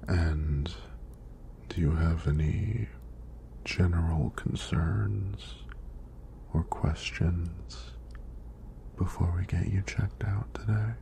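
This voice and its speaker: A man playing a gentle, flirty EMT in a soothing, soft-spoken ASMR roleplay, breathy and deep, with reassuring praise. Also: fairly even intonation, slow pace, pauses often, a little hiss or hum, heavily acted. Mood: soothing, attentive. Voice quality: deep, gravelly, breathy near-whisper. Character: gentle, flirty hunky male EMT examiner.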